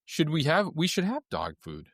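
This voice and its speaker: A man's voice: fairly high pitch, wildly swooping pitch, moderate pace, vocal fry, no room sound, studio quality. A man co-hosting a podcast, casually chatting and reading items off packaging with a wry manner. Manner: wry, reads items off lists. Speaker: podcast co-host.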